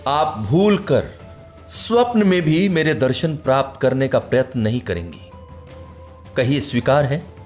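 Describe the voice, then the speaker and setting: Radio drama part: aloof, poetic male author, medium pitch, courteous but stubborn, literary declamation. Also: sing-song, unhurried, darkish tone, soft background music. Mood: proud, poetic. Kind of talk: Hindi radio play acting.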